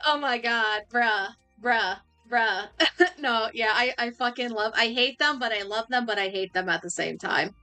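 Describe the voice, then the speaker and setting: A young woman livestreamer chatting casually, laughing often and rambling with restarts, her voice going a little hoarse. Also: on the high side, measured pace, bright, forceful tone, faint music behind. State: losing her voice. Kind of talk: casual chat.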